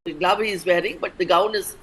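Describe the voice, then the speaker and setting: Measured woman doctor explaining. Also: on the low side, fairly even intonation, noisy background. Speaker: doctor, expert guest. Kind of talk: TV interview answers.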